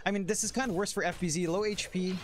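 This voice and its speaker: A man's voice: sits high, steady tone, fast, a little hiss or hum. A man giving live esports commentary, analysing the game play by play. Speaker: esports caster.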